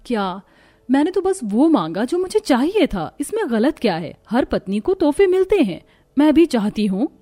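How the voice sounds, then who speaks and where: A woman plays a spoiled, boastful young wife from a cartoon family drama. She sounds haughty and demanding, in a high, softly mellow voice. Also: sing-song, normal speed. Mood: indignant. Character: boastful, greedy, spoiled young wife mocking her sister.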